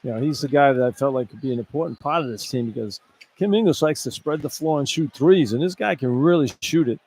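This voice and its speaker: A man's voice: medium pitch, a little fast, darkish tone. An American man working as a radio color analyst, explaining basketball plays in an informal, conversational way. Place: courtside in an indoor arena. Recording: clear background noise, live arena broadcast.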